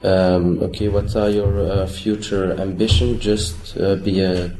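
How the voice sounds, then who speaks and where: Very low-voiced hesitant man. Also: flat delivery, a little slow, dark tone, reverberant, slight background noise. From Belgium. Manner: hesitant, many fillers. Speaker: language student. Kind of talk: casual podcast interview.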